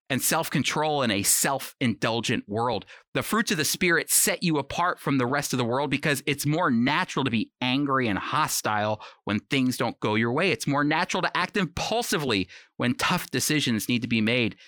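Bright-voiced man teaching the Bible. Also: medium pitch, dry recording, studio quality. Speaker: Bible teacher on a radio program. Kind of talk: scripted Bible teaching, conversational.

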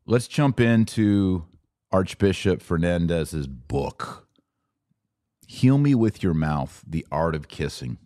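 Male American podcast commentator, lower register, informal and mocking, opinionated delivery in a dry studio sound. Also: animated, slow pace, warm tone, no echo. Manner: informal, slangy, mocking, incredulous. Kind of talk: opinionated religious commentary to an audience.

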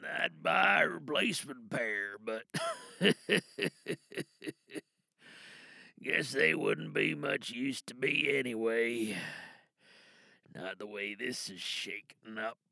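A man playing a dying, bitter rebel in an audio drama, with a gravelly voice and weary, halting, pained delivery. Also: mid-range voice, lively intonation, sharp tone, no room sound, voice pushed down, full character voice. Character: dying, bitter, angry cyberpunk rebel recording a last message.

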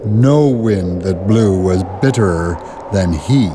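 An actor playing a grave storyteller, narrating a classic tale in a deliberate, dramatic way, relishing each word. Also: male voice, deep voice, background noise.

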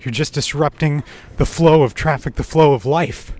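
A man's voice: fairly high pitch, moderate pace, darkish tone. A man talking conversationally as a podcast co-host. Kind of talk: conversational podcast talk and opinion monologue.

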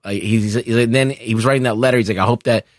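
A man discussing films casually as a podcast panelist, haltingly repeating words as he reasons. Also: medium pitch, fairly even intonation, dry recording, studio quality.

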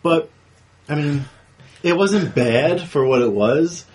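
A man's voice: on the high side, slow pace, a little mellow, slightly rough. A man chatting casually as a panelist in a group film discussion. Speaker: panelist on a movie discussion show.

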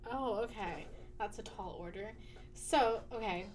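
A woman's voice: mid-range voice, measured pace. A young adult woman talking as a student guest in a casual interview, chatty and full of fillers, laughing easily.

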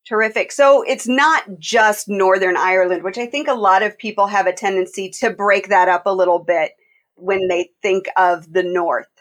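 Woman podcast host, upbeat, lots of questions, mid pitch. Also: normal speed, fairly bright tone. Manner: enthusiastic, asks open questions. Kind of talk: casual interview.

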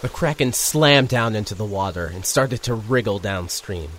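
A man's voice: lowish voice, lively intonation, normal speed, noisy background, lightly acted. A man playing a young reporter who narrates an audio drama in a wry, conversational way.

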